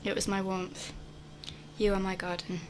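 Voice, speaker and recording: A woman's voice: mid-range voice, level tone, moderate pace, a little hiss or hum, close to an everyday voice. A young woman acting in a radio play, delivering scripted personal monologues. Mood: wistful. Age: young adult. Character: wistful young woman longing for home.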